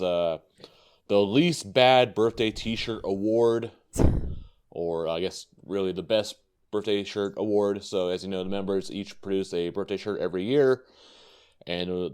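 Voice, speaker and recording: A man's voice: low voice, a little mellow, clear, resonant tone. A man hosting a casual podcast, rambling with lots of fillers and easy laughs. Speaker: podcast host.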